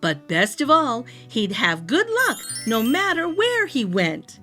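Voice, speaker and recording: A woman reading a rhyming picture book aloud to young children, expressively, with deliberate dramatic phrasing. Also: fairly high pitch, wildly swooping pitch, pushed and bright, faint music behind. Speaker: storytime reader.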